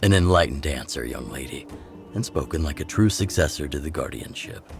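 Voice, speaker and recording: Very deep-voiced resistance chief. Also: male voice, expressive, gravelly, faint music behind, heavily acted. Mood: pragmatic, commanding. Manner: measured, authoritative, plain-spoken. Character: gruff older male chief of underground resistance, measured, pragmatic. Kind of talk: video game dialogue acting.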